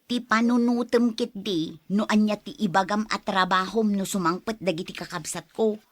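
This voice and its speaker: A woman's voice: medium pitch, moderate pace, lightly acted. A woman playing an evasive youngest daughter and caretaker in a Filipino family drama, with a Filipino accent. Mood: defensive. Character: youngest daughter, evasive caretaker of her mother, given to wailing. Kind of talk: Filipino radio-style family drama acting.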